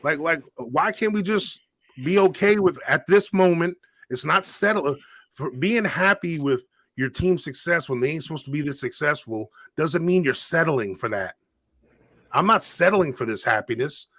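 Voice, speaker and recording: A man in his forties argues his case in a casual sports chat, circling back and repeating himself to press the point. His voice sits a little high for a man. The sound is close and dry, with no room around it. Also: lively intonation, normal speed, a bit bright, no room sound. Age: 40s. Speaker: podcast co-host.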